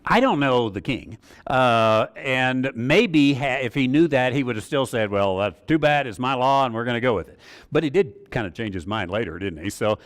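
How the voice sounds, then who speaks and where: A man's voice: mid-range voice, lively intonation, moderate pace. A man teaching a Bible study in a rambling, conversational way, full of fillers and small chuckles. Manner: rambling, many uh fillers, chuckles at own asides.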